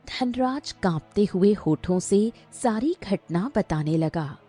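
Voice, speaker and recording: A woman narrates a folk tale slowly and dramatically in a mellow voice with plenty of melody. Soft music plays behind her. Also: mid-range voice, lively intonation, slightly rough. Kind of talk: dramatic scripted story narration.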